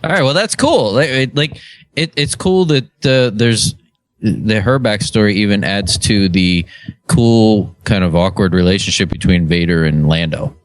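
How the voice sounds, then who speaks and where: A man's voice: on the low side, normal speed, no echo. A man co-hosting a podcast in casual, bantering chat. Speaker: podcast co-host.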